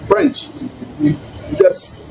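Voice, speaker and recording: An older man with a Ghanaian accent giving his views in an interview, with emphatic, deliberate phrasing. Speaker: emeritus professor and interviewee. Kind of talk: spoken commentary in an interview. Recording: clearly noisy location sound.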